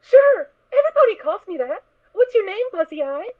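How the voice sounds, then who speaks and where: Naive little filly: very high, childlike, earnest, excitable; tinny phone-like audio. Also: female voice, a little fast. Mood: innocent, chatty. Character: sweet, naive, excitable little girl pony foal. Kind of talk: fan audio drama acting. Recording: tinny, narrow phone-like sound.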